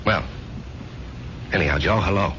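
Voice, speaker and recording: Earnest, low-voiced salesman, old radio. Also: moderate pace, a bit bright, slight background noise. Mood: hesitant, earnest. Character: earnest, anxious married salesman, good-natured employee. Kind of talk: radio drama acting. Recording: dull old radio broadcast.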